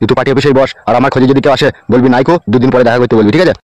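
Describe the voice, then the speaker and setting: Hot-tempered cartoon village boss played by a man: mid-pitched, very fast, blunt. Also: fairly even intonation, darkish tone, dry recording, close to an everyday voice. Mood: bossy. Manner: blunt, interrogating, bossy. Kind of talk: comedy cartoon dialogue.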